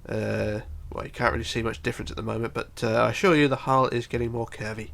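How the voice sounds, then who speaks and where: A man's voice: medium pitch, steady tone, quick pace, vocal fry, fairly bright tone. A man with a bunged-up, congested voice giving a casual step-by-step building tutorial, counting aloud methodically. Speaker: tutorial host.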